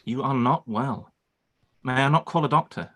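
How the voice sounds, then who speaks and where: A man acting a reserved, concerned gentleman in a period radio play, speaking formally and earnestly. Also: mid-range voice, moderate pace, natural voice.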